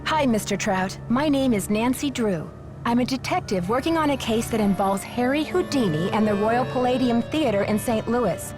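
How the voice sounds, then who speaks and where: A woman playing a polite, earnest and determined young detective in a video game, with an American accent and clear delivery. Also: on the high side, moderate pace, over music, lightly acted. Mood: polite, eager.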